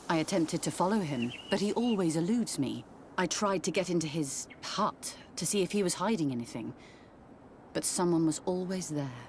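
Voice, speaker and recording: Low-voiced, serious woman investigator, acted. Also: darkish tone, slight background noise, close to an everyday voice. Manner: formal, businesslike. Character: serious, determined female investigator in a fantasy world. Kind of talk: video game dialogue.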